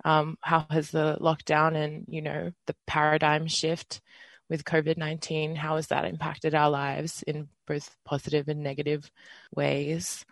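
A twenty-eight-year-old radio host with an Australian accent, leading a community panel in an earnest, relaxed way. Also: mid-range voice, a little slow, vocal fry, no room sound. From Western Australia. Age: 20s. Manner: earnest, relaxed, with fillers and small laughs.

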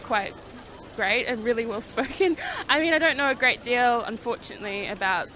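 A woman's voice: fairly high pitch, animated, moderate pace, pushed and bright, slight background noise. A woman at an outdoor rally answering an interviewer hesitantly, with many fillers. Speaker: rally attendee.

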